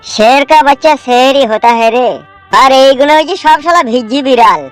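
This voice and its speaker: A woman's voice: high-pitched, fairly quick, close to an everyday voice. A woman voicing a cocky, emphatic young village man in a cartoon. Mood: indignant, defiant. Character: brash, vengeful young village man, self-styled hero. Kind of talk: cartoon melodrama. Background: music.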